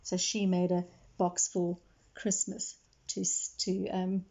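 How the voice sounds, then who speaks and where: A woman storyteller reading aloud to young children in expressive narration, explaining things simply as she goes. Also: on the low side, slow pace, gravelly, highs cut off.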